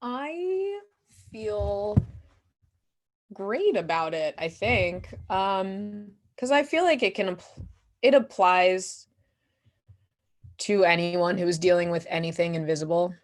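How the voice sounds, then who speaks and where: A woman guest performer answering questions in a casual, expressive, slangy and giggly way at a post-show talkback. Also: mid-range voice, takes it slowly, pauses often.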